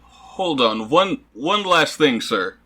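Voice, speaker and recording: A man voicing a polite, hesitant adventurer in a tabletop game, speaking haltingly and deferentially. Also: fairly high pitch, very animated, bright, forceful tone, slightly rough, full character voice.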